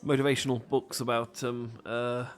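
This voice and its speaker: A man's voice: mid-range voice, level tone, normal speed, close to an everyday voice. A man with a British accent playing a nervous, apologetic, bookish romantic lead in an audio roleplay, stammering and rambling hesitantly. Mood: shy, self-deprecating.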